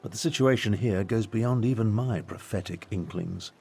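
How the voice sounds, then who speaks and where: A man's voice: lowish voice, moderate pace, vocal fry, a little mellow, slight background noise. An actor playing a solemn, grandiose prophet nobleman in a fantasy game, speaking in a grave, formal, declamatory way. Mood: grave, appalled.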